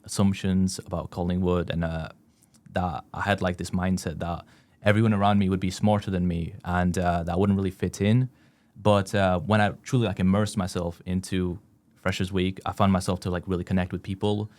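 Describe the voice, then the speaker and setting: A man's voice: sits low, little pitch movement, brisk, a little mellow. A young man from West Yorkshire, a first-year student chatting casually and rambling with many fillers and restarts. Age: young adult. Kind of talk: casual chat.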